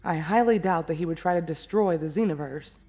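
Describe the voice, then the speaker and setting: A woman's voice: low voice, brisk, dark tone, soft background music. A woman playing a serious, skeptical, proud female warrior who speaks formally and guardedly in an anime fan drama. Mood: questioning.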